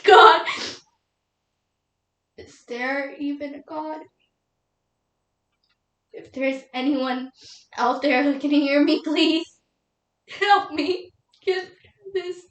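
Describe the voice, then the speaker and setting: A woman playing a grieving young widow in a solo dramatic monologue, emotional and halting, tearful and doubting God. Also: high voice, fairly even intonation, fairly bright tone, exaggerated voice. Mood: anguished pleading. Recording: echoey room.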